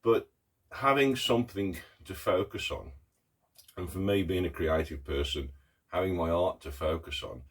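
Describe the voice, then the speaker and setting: Middle-aged man vlogging, very low husky voice with a croak from a cough, halting and earnest with many pauses. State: hoarse with a cough. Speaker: artist vlogger. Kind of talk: casual talk to camera.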